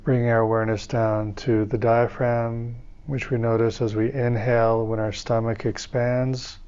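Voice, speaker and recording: A man leading a guided meditation as a teacher, speaking in calm, soothing, measured phrases with pauses between them. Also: mid-range voice, clean tone, highs cut off.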